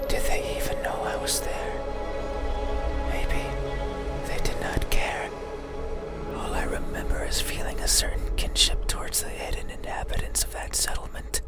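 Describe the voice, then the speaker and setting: A lonely monster played by an actor speaks from a horror game in a breathy, intimate whisper. The delivery is very slow and stilted, full of yearning, as if unused to words. Prominent music plays underneath. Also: natural voice. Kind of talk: ASMR horror game monologue.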